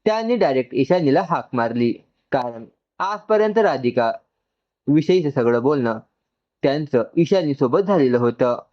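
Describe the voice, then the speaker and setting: A male narrator reads a scripted, emotional story. His voice is mid-pitched, and the recording is slightly dull and lo-fi. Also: animated, normal speed.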